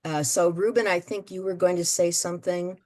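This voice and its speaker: A woman leading an online meeting, explaining things with many fillers and pauses. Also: lowish voice, steady tone, a little mellow. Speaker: meeting facilitator.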